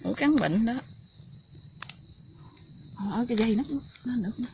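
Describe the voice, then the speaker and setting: A woman's voice: fairly high pitch, expressive, unhurried, gravelly, dull audio. A woman with a southern Vietnamese Mekong Delta accent, chatting casually and exclaiming outdoors, picked up by a nearby phone. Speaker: mushroom picker in a vlog. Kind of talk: casual chat. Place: outdoors in a rural coconut garden. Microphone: phone held by companion nearby. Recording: noisy outdoor phone recording with insects.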